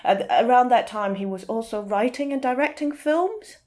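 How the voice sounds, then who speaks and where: A woman speaking as an interview guest, explaining things informally and sometimes pausing to search for the right word. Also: a little high, a little mellow.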